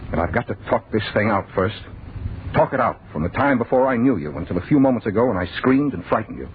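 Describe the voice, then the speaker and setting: A man's voice: lowish voice, lively intonation, fast, narrow, tinny audio, lightly acted. An actor playing an anguished, fearful man earnestly confessing to his sweetheart in vintage radio drama style. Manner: earnest, pleading, agitated. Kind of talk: 1940s radio drama acting. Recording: noisy, thin old radio recording.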